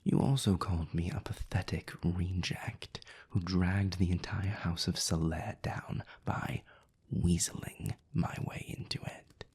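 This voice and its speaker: A man voicing a haughty, scornful vampire with a raspy, breathy voice in a scripted audio drama. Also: deep voice, steady tone, unhurried, darkish tone, whispered, heavily acted. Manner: haughty, cutting, sardonic. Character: scornful, condescending male vampire, bitter rival. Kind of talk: scripted audio roleplay acting.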